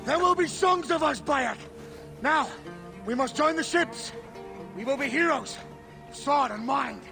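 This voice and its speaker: An imperious Roman general in a video game cutscene. The voice is strikingly high and declamatory, giving orders over clear background music. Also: male voice, expressive, a little slow, full character voice. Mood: rallying. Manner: commanding, declamatory.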